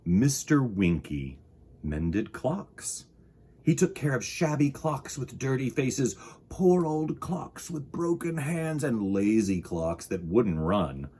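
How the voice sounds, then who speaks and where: A man reading a children's picture book aloud in a theatrical, deliberate storytime style. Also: mid-range voice, lively intonation, warm tone, breathy edge. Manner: dramatic, deliberate, with sound effects and exclamations.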